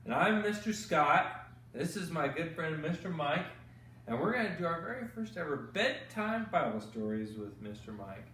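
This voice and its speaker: A man hosting bedtime Bible stories for children, teaching gently with exaggerated, kid-friendly questions and repeated phrases. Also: a little high, very animated, moderate pace, far from the mic. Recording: echoey room, off-mic, faint noise.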